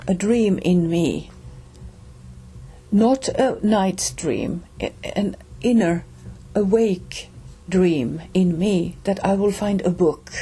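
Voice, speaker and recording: Slow-talking Finnish woman telling a story. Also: fairly low pitch, warm tone, husky. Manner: slow, halting, with pauses and restarts. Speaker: reader and storyteller. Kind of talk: personal storytelling.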